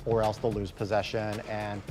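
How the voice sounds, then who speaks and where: A man presenting a game demo live with easygoing commentary, often laughing and filling pauses with ums. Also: lowish voice, flat delivery. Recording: broadcast audio with loud music. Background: loud game music.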